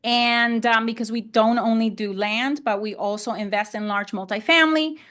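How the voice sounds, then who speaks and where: A woman's voice: fairly high pitch, moderate pace, a bit bright. A middle-aged woman from Honduras, a native Spanish speaker, talking conversationally as an interview guest and often repeating and rephrasing herself. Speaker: podcast guest, land investor.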